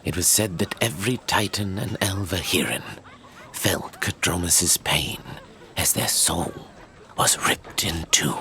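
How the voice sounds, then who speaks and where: An actor playing a grave storyteller of ancient legends, narrating a fantasy audio drama in a solemn, portentous manner. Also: male voice, sits low, measured pace, pushed and bright, a little hiss or hum, exaggerated voice.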